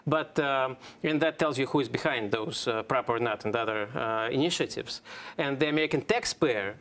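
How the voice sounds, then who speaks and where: A man speaking as an analyst on a studio political panel, in a halting way with many pauses. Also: medium pitch, fairly bright tone.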